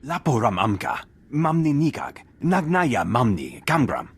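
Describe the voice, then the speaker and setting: A man voicing a gruff, rough-voiced alien enforcer who speaks an invented tongue in a video game. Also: medium pitch, big pitch swings, measured pace, heavily acted. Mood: defiant. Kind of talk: video game character dialogue.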